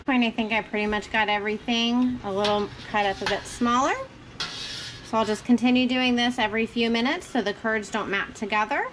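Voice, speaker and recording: A woman's voice: a little high, steady tone, moderate pace. A woman giving a casual, informal how-to narration in a home kitchen, explaining each step as she works. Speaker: homesteader demonstrating a recipe. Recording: clear background noise, clicking kitchen sounds.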